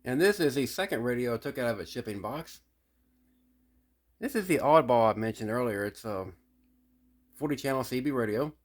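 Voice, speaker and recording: A man casually narrating a hobbyist review in an unhurried, folksy, explanatory way. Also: mid-range voice. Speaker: radio hobbyist presenting a video.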